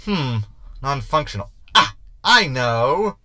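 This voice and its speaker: A man's voice: mid-range voice, very animated, slow pace. A man playing a cocky, sarcastic shapeshifting ship's hologram in a science fiction comedy audio drama, with wordy delivery. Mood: musing, smug.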